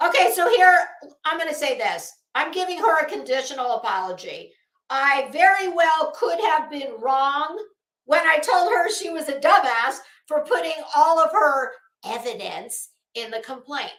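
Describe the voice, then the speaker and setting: Female livestream host, high register, blunt and sweary, halting emphasis, recorded in a roomy, echoey space. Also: animated, a bit bright. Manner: blunt, profane, opinionated. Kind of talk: casual livestream commentary.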